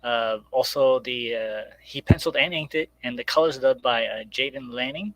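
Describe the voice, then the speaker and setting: A man talking casually and haltingly as a guest creator plugging his project on a live stream. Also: mid-range voice, fairly bright tone, dry recording, poor audio. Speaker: guest comic creator promoting crowdfunded book and radio show.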